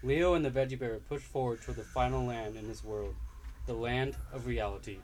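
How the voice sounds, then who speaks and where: A man narrating a story aloud in a steady, plain storytelling manner. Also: medium pitch, fairly quick, a little hiss or hum, low-quality recording.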